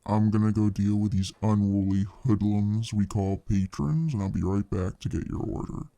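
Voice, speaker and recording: A man's voice: lowish voice, steady tone, moderate pace, creaky, warm tone, no echo. A man playing a friendly, easygoing fantasy tavern bartender who chats casually and folksily to the listener in an ASMR roleplay. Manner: laid-back, hesitant, folksy, chatty.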